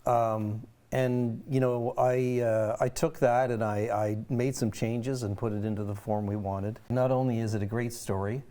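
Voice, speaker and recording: A man's voice: medium pitch, fairly even intonation, moderate pace, few pauses, warm tone. A man talking casually and reflectively in an interview. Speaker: show organizer and script adapter.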